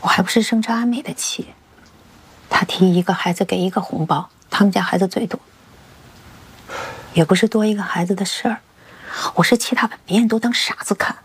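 An actress playing an outspoken, animated housewife in a naturalistic television drama. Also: female voice, a little high, normal speed, husky, exaggerated voice. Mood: resentful.

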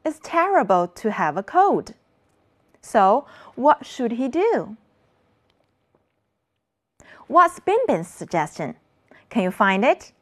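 A woman's voice: a little high, very animated, slow pace. A woman teaching children an English lesson, modeling words for the class to repeat.

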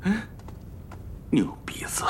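A man's voice: takes it slowly, darkish tone, whispered, lightly acted. A man acting a blunt, outspoken, teasing comrade in a historical drama, with an exclamatory way of talking.

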